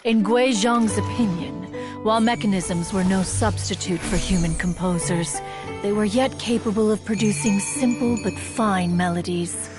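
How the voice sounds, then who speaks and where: A woman's voice: mid-range voice, moderate pace, over music, close to an everyday voice. A woman playing a proud, mournful, ancient immortal in a video game, telling stories in formal, archaic, stately speech. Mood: wistful, solemn. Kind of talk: scripted game storytelling.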